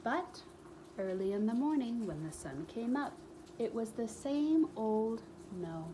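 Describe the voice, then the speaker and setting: A woman reading a children's book aloud as a storyteller, expressively, with dramatic pauses and emphatic repetition. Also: fairly high pitch, very animated, noisy background.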